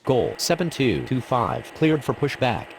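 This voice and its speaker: A man's voice: mid-range voice, lively intonation, measured pace, gravelly. A man delivering scripted airport radio calls in standard pilot and controller phraseology. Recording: very loud engine-like background noise.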